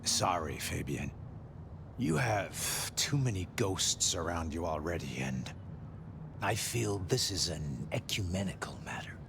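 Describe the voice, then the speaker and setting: An actor playing a troubled, gentle street mystic in a video game, musing philosophically and often dropping into whispery murmurs. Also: male voice, deep voice, big pitch swings, a little slow, full character voice. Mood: guarded, serene. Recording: clear background noise.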